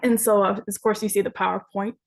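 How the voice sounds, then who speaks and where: A young woman from Mississippi begins her presentation over a video call. Her voice has a gravelly, fry-edged texture, and her delivery is measured, with small pauses as she finds her words. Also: mid-range voice, level tone. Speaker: graduate student presenter. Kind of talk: conference presentation.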